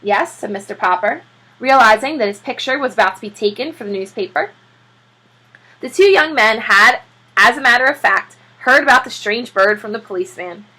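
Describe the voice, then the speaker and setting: A woman reading a children's story aloud in an expressive, animated teacher's manner. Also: a little high, rough recording. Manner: expressive, acts out character voices.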